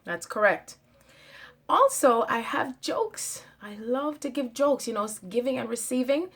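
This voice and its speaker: A woman's voice: fairly high pitch, animated, measured pace, a bit bright, husky. A woman talking straight to her viewers in a spiritual monologue, stating her claims with emphasis, often repeating phrases and stopping on fillers. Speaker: channel host.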